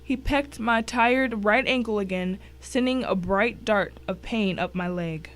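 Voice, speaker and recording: A woman's voice: mid-range voice, a little slow. A woman giving a dramatic first-person reading of a short story as one of its narrators.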